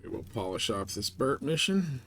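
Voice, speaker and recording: A man's voice: mid-range voice, very animated, normal speed, pushed and bright, breathy edge. Folksy man chatting through a game stream. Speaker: game livestream host. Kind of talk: casual gameplay commentary, chatting to viewers.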